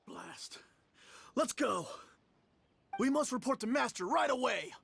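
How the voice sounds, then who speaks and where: An agitated henchman thug for a video game. His voice is strained, extremely high for a man and creaky. Noise sits behind it. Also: expressive, slow pace, a bit bright, heavily acted. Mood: alarmed, defiant.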